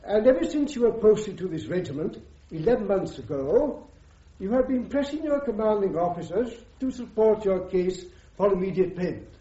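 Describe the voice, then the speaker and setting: High-voiced, exacting court official. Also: male voice, big pitch swings, normal speed, warm tone. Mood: formal, questioning. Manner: formal, precise, polite. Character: courteous, exacting court-martial judge advocate. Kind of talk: radio courtroom drama acting. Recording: dull, echoey radio drama recording.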